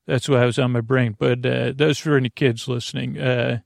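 Male podcast host, gravelly fry, meandering and gently silly bedtime monologue, close dry recording. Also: mid-range voice, steady tone, no room sound. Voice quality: gravelly, creaky. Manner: meandering, halting, digressive.

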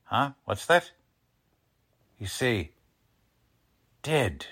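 A man's voice: mid-range voice, sing-song, extremely slow, a bit bright, slightly rough, heavily acted. A man playing a cocky, folksy police lieutenant with slangy, ungrammatical talk in 1940s radio drama style. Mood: businesslike, grave. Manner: slangy, ungrammatical tough-guy speech.

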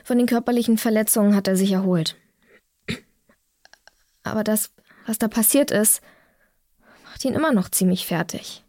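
A woman's voice: mid-range voice, normal speed, pauses often, dark tone, no room sound, studio quality, natural voice. A woman playing a troubled young woman in an audio drama, speaking seriously and naturally about a friend. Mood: troubled, bitter. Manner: serious, conversational.

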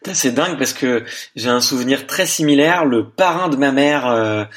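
A man hosting a podcast in a relaxed, informal way, asking follow-up questions. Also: mid-range voice, moderate pace. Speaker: podcast host. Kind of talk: casual interview chat.

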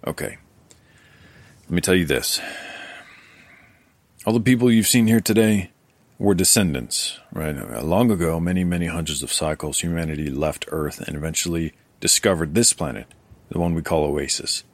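A man plays a weary, guarded survivor in a sci-fi audio drama. His voice is low and recorded very dry and close. He talks in a hesitant, conversational way, like someone keeping a record. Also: moderate pace, no room sound. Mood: grave, explaining.

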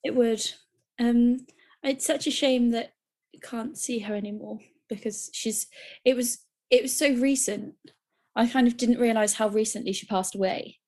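A woman's voice: a little high, steady tone, moderate pace, darkish tone. A young woman, co-hosting a podcast, talking enthusiastically and rambling with restarts. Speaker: podcast co-host. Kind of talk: casual chat.

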